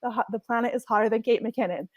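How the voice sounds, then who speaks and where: A woman's voice: fairly high pitch, quick pace, dry recording, lo-fi sound. A young adult woman raised in Hawaii, a marine scientist giving a chatty, enthusiastic online workshop talk and laughing often. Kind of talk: casual online workshop presentation.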